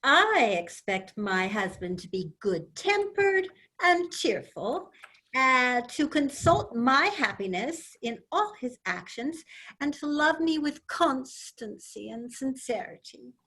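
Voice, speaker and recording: Casting: woman playing a sincere young lady, high bright voice, measured and lilting. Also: sing-song, exaggerated voice. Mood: earnest. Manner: slow, careful, sincere. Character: earnest, idealistic young woman, Sophie. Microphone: video call.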